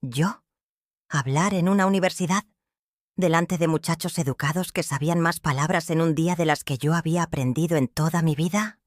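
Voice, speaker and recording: A woman's voice: low-pitched, a little fast, no room sound, studio quality. Older woman, heartfelt Mexican-accented narration. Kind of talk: first-person storytelling narration.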